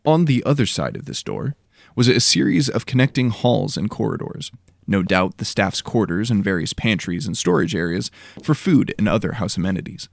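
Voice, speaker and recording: A man's voice: fairly low pitch, lively intonation, normal speed, creaky, dry recording, clean studio sound. A man reading scripted fantasy audiobook narration as a storyteller.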